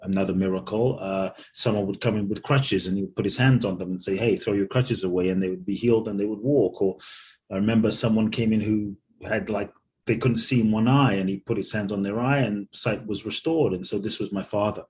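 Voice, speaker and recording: A man in his forties, born in Ghana and raised in London, telling his life story as a podcast guest in an earnest, measured way. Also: lowish voice, fairly even intonation, a little mellow, a little hiss or hum. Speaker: guest, spiritual speaker and author. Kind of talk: reflective storytelling in an interview.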